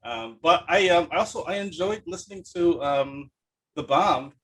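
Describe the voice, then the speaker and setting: A man's voice: a little high, lively intonation, moderate pace, a bit bright, rough recording. A man hosting a podcast in a casual, eager and effusive chatty style. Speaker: podcast host. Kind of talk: casual chat, interviewing.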